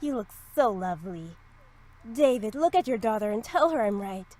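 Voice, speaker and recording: A woman's voice: mid-range voice, animated, normal speed, warm tone, close to an everyday voice, low-quality recording. A woman voicing a doting, proud middle-aged mother with an effusive, affectionate manner in a visual novel. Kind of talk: visual novel character reading.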